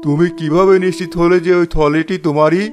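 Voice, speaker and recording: A man's voice: on the high side, fairly even intonation, brisk, a little mellow, soft background music, lightly acted. A man voicing a fair village judge in a cartoon tale, questioning formally and with composure. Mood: calm, stern. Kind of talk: cartoon story voice acting.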